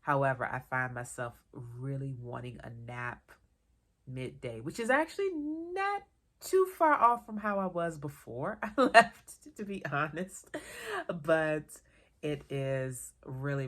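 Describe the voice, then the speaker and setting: A woman talks to camera in an easy, meandering vlog chat, her voice low and full of vocal fry. She sounds stuffy from a cold and a little worn out, but keeps the tone loose and chatty. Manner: chatty, relaxed, digressive with small laughs. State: congested from a cold; tired. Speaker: vlogger and author. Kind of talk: casual solo vlog chat.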